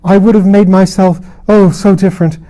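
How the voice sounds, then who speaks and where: A man's voice: high-pitched, level tone, normal speed, dark tone, pitched above the performer's own voice, lightly acted. A man voicing a tormented, defiant fallen queen in a fantasy audiobook, bitter, proud and impassioned. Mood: anguished, bitter. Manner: impassioned, gasping outbursts. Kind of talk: dramatised audiobook reading of a fantasy novel.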